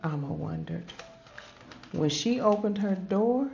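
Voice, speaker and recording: Slow-paced woman reading a children's storybook. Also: medium pitch, animated, gravelly, darkish tone. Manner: dramatic, with drawn-out phrasing and questions to listeners; addresses listeners as babies, grandmotherly. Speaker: storybook reader and host. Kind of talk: expressive children's read-aloud storytelling. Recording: dull lo-fi audio with music behind. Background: background music.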